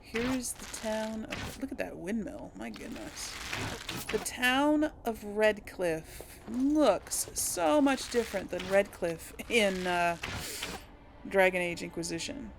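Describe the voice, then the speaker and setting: A woman's voice: mid-range voice, expressive, slow, background noise. A woman giving casual, musing game commentary, thinking aloud and speaking replies in character. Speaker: gaming video host.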